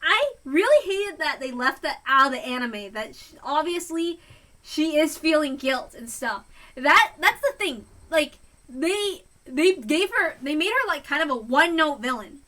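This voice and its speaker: Bright, emphatic woman giving impassioned commentary. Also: high voice, big pitch swings. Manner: emphatic, exasperated, halting. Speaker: reader and commentator. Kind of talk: casual impassioned fan commentary.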